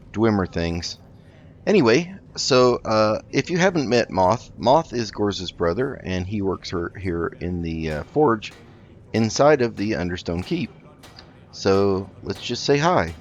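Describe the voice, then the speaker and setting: A low-voiced man hosting a roleplay gameplay video. He talks casually and in character, polite and a little hesitant, with many pauses. The sound is dull and lo-fi, with noise behind him. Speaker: game roleplay video host. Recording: dull lo-fi mic with background noise.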